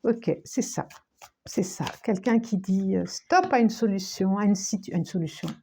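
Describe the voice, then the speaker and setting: A woman with a cold giving a tarot reading, speaking slowly with many restarts and repetitions, sometimes dropping to a near-whisper. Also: mid-range voice, dark tone, a little hiss or hum. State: has a cold, coughing. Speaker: tarot card reader.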